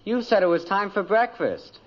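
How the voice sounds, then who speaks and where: High-voiced, whiny American teenage boy. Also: sing-song, normal speed. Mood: eager. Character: lazy, grumbling teenage son, boy. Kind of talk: 1940s-50s radio sitcom acting. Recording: muffled, noisy vintage radio recording with clicks.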